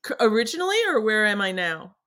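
A woman's voice: mid-range voice, very animated, fast, bright, forceful tone. A middle-aged woman from New England with a faint Boston accent, a guest on a video-call interview, talking animatedly with frequent laughs and restarts. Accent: Boston, mostly lost. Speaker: guest artist. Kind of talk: casual interview chat. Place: home studio in front of her paintings.

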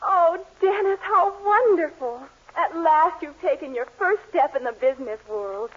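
An actress playing an eager, pushy young sweetheart, earnest and coaxing, in a 1940s radio sitcom. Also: female voice, high voice, animated, measured pace. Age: young adult. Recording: muffled old 1940s radio recording.

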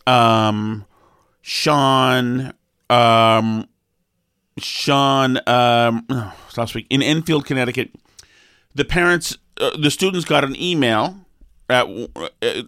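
A man co-hosts a talk show, his voice sniffly and mid-pitched. He rambles through fillers and restarts before hitting his points hard. The sound is dry and close. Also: a bit bright, clean tone, no room sound. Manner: rambling, halting with fillers and restarts, then emphatic. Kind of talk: opinionated casual talk.

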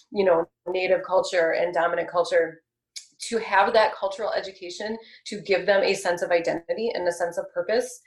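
A woman in her thirties speaking earnestly and measuredly in a casual discussion, pausing often with ums. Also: fairly low pitch, roomy sound, rough recording.

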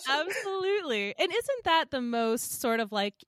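Lively, laughing woman podcast host. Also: sits high, moderate pace, no echo, studio quality. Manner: bubbly, animated, laughs often. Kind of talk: casual chat.

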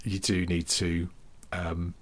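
Deep-voiced man in his forties. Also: level tone, slow pace, a bit bright. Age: 40s. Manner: rambling storyteller, frequent ums and restarts. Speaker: podcast co-host. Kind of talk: casual chat.

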